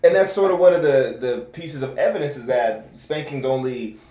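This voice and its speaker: Measured man in an echoey room. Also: medium pitch, dark tone. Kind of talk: casual discussion. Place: small room, yellow wall.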